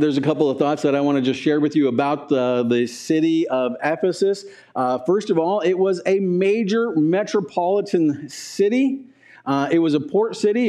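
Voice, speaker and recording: A man's voice: on the high side, mellow. A man in his forties, a pastor preaching a conversational teaching sermon in a church sanctuary, gesturing as he talks and asking the room questions.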